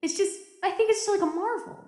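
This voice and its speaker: Audio drama: actress as isolated female deep-sea station survivor, high register, anxious and jaded, halting confessional monologue, echoey room. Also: darkish tone. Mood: hesitant, uncertain. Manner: rambling, halting, stammering, self-interrupting.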